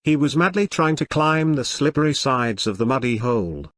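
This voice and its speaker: A man's voice: mid-range voice, animated, normal speed, very noisy. A man narrating a scripted moral story for a cartoon. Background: faint music.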